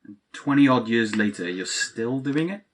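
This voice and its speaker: Actor as a husky, cynical man. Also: medium pitch, animated, moderate pace, lightly acted. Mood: dry, sceptical. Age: young adult. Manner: sardonic, incredulous. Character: cynical adult man, exasperated, let-down Christmas sceptic, best friend. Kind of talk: naturalistic audio drama dialogue.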